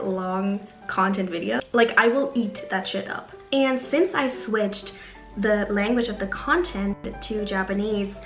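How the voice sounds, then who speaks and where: A woman's voice: mid-range voice, a bit bright. Young woman, German first language, chatty vlog talk, echoey room, faint background music. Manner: chatty, fillers like "like". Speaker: YouTuber. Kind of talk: casual vlog talk to camera. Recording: roomy sound with faint music.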